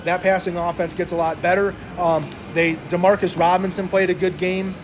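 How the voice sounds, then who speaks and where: A man's voice: high-pitched, little pitch movement, moderate pace, background noise. A man co-hosting a sports betting podcast, talking through games casually with frequent hesitations.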